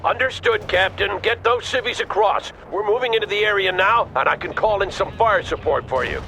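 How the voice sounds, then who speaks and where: An actor playing a steady, authoritative American army colonel, clipped and composed, giving orders in a video game. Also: male voice, sits high, fairly quick. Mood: brisk. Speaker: army colonel, battalion commander. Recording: noisy battlefield behind.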